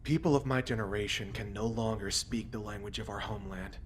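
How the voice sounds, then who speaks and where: A man's voice: on the low side, fairly even intonation, brisk, slight background noise, lightly acted. A man playing an earnest, regretful young airman in a video game. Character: rueful young airman cut off from his homeland.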